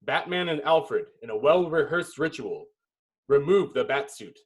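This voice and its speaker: A man with a fairly high, husky voice narrates a screenplay. He reads the stage directions dramatically, as scripted narration. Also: expressive, measured pace, poor audio.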